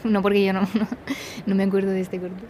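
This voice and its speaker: A woman chats as a podcast guest, talking quickly in bursts and then trailing off softly. Her voice sits at an ordinary pitch, with a faint hum in the background. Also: completely flat delivery. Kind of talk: casual chat.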